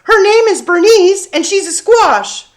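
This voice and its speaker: A spirited little girl from a storybook, acted out by a woman, pitched very high and full of exclamation. She is earnest and devoted, and her words swing up emphatically. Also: animated, a little slow. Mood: proud.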